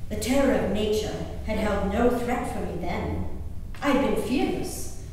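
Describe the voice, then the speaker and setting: A woman performing a historical diary as a resolute, haunted gentlewoman recalling peril at sea, in theatrical, measured phrases with dramatic pauses. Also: mid-range voice, natural voice. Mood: sombre, reflective. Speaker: stage performer. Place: live reading in a reverberant hall. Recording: distant echoey hall, noisy room recording.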